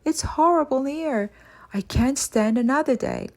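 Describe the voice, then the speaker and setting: A young girl from a storybook, spirited and desperate, acted out by a woman reader. Her voice sits high and urgent. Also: lively intonation, measured pace. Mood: pleading. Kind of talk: audiobook character voice.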